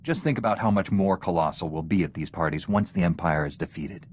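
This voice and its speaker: An actor playing a duty-bound, earnest heroic knight in a video game, speaking in a measured, restrained way. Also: male voice, low-pitched, darkish tone, a little hiss or hum, close to an everyday voice. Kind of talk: video game character dialogue.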